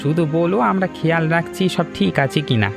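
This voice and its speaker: High-voiced, helpful village uncle played by a man. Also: fairly quick, gravelly, music in the background. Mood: helpful, steady. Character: helpful, steady village uncle looking out for neighbours. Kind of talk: Bengali animated story dialogue.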